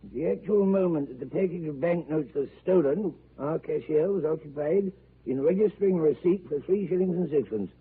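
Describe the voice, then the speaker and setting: An actor playing a confident gentleman at a card table, speaking in an assured, matter-of-fact way in a 1930s radio play. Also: on the high side, a little fast, lightly acted. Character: confident English club gentleman at cards. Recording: tinny lo-fi 1930s radio broadcast, faint hiss.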